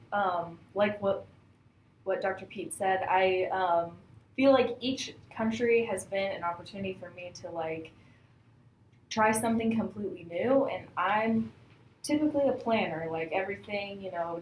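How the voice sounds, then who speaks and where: A woman's voice: mid-range voice, measured pace, slight background noise. A woman in her twenties from Kansas, a student, chatting with many likes and you knows. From Kansas, USA. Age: 20s. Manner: chatty, uses like and you know. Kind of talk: casual podcast interview. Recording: echoey room.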